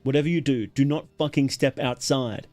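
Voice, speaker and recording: A man voicing an alarmed, urgent male agent with a sharp, agitated delivery in a dramatic horror story reading. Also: mid-range voice, normal speed, close to an everyday voice. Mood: grave, urgent.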